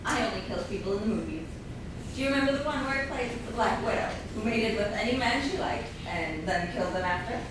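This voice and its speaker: A woman's voice: mid-range voice, expressive, quick pace, close to an everyday voice. A woman playing a vain, self-assured film star in a comedy stage reading, breezy and insistent. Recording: very echoey room, distant mic, loud noise.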